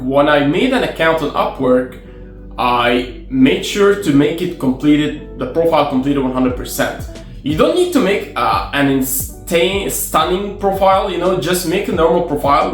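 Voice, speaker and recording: A man whose first language is Arabic chats to the camera in an easy, advice-giving way, often backing up to restart a phrase. His voice sits at a middle pitch and rings in a roomy space. Faint guitar music plays under him. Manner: conversational, repeats and restarts phrases, gives direct advice. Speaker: freelancer sharing advice. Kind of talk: casual talking-to-camera vlog.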